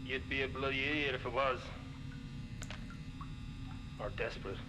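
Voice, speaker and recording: A man playing a foul-mouthed, chatty, excitable scavenger in a video game. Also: mid-range voice, moderate pace, background noise, close to an everyday voice. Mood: wary, needling. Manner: matey, swearing, excitable.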